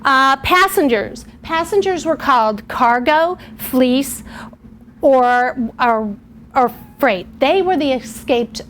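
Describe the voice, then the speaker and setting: A woman teacher talks to young children in a clear, patient way, her voice sitting a little high. She sounds middle-aged. A faint hiss sits under the recording. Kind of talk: classroom lesson and read-aloud for children. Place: television studio or classroom.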